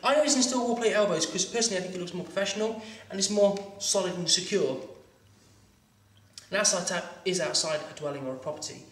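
A man's voice: sits high, quick pace, far from the mic. Man teaching plumbing, echoey room. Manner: practical, instructive, stumbling restarts. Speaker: plumbing instructor. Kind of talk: hands-on demonstration lesson. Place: training workshop room. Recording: echoey room, voice a bit off-mic.

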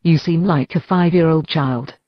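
A female chatbot voice from text-to-speech, reading its replies in a flat synthetic delivery. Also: low-pitched, normal speed, dry recording, dull audio.

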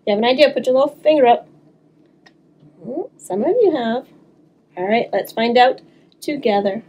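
A woman in her thirties reading aloud expressively for children's story time, animated and talking directly to young listeners. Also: on the high side, moderate pace, a little hiss or hum. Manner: animated, talks to young listeners, draws words out. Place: small indoor room.

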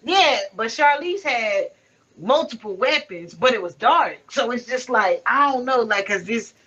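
Slow-paced young woman, slightly muffled. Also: a little high, animated, fairly bright tone, breathy edge. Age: young adult. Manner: giggly, slangy, profane. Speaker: livestream panelist. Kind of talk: casual chat. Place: living room at home. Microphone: headset on a video call. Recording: dull, lo-fi video call audio.

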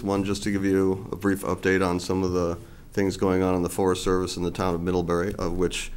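A man in his sixties with a big, loud voice presenting a land request to a town board at a table microphone. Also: sits low. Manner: loud, plain-spoken presentation. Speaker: federal forest service representative presenting to a board. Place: town meeting room, at a table.

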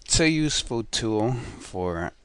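A man hosting a casual software tutorial over a screen recording, talking in a relaxed, rambling way with fillers and self-corrections. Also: lowish voice, a little slow, clear, resonant tone, no echo.

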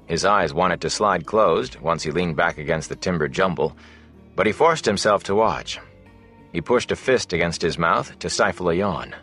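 A man reads a fantasy novel in a low-pitched voice. His storytelling is measured and expressive, as an audiobook narrator would deliver it. Faint music plays behind the narration.

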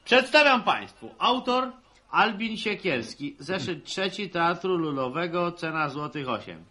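A man's voice: sits high, sing-song, a little slow, bright, forceful tone, exaggerated voice. A man acting a boisterous young farmer and amateur actor in a 1960s Polish radio serial, announcing things in a showy, clowning way. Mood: showy, excited.

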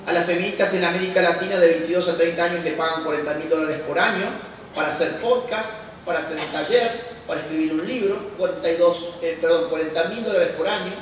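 Male lecturer, 30s, punchy rhetorical questions; distant, boomy hall pickup. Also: fairly even intonation, quick pace, a little hiss or hum. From Argentina. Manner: emphatic, poses rhetorical questions then answers them. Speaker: conference speaker. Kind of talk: public lecture to an audience. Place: large church hall used as a lecture venue. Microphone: handheld mic, recorded by a distant camera. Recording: echoey church hall heard from a distance.

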